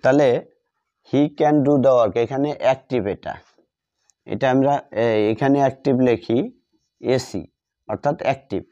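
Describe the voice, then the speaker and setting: Brief: male tutor, slow and repetitive, mellow, dull lo-fi sound. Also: medium pitch. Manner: slow, repeats words while writing them out. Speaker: English grammar teacher. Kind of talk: slow classroom explanation while writing. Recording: dull lo-fi audio.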